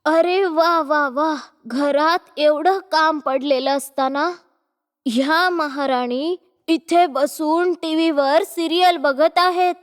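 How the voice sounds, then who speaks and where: A woman's voice: high voice, takes it slowly. A woman voicing a bossy, nagging middle-aged mother-in-law in a cartoon moral story. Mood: sarcastic, bossy. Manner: nagging, exclamatory, domineering. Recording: long hall-like reverb.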